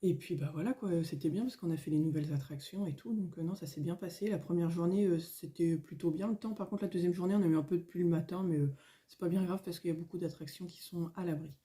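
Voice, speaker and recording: A middle-aged woman, a little hoarse, chatting casually at home in a vlog, rambling with many fillers and restarts. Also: lowish voice, quick pace, warm tone. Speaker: coloring vlogger. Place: at home, indoors.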